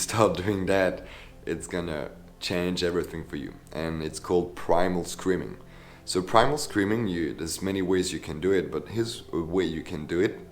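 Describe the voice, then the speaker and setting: A man's voice: sits low, pushed and bright. A man teaching as a voice coach in a casual video tutorial, enthusiastic and often checking in with "yeah?". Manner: enthusiastic, tags sentences with "yeah?".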